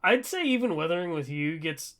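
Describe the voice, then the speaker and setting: A man's voice: fairly high pitch, animated, brisk, a bit bright. A man co-hosting a casual pop culture podcast in loose, conversational chat. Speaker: podcast co-host.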